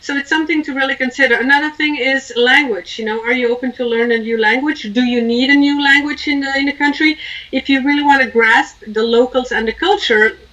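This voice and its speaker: Woman from Belgium, expat coach being interviewed. High, very bright voice, earnest and emphatic delivery. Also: fairly quick, far from the mic, muffled recording. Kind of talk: informal interview talk giving advice.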